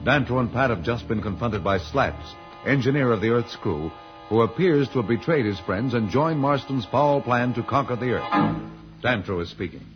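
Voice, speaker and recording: A man's voice: mid-range voice, expressive, moderate pace. A man narrating as announcer of an old-time radio adventure serial. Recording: muffled old radio recording. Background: loud music.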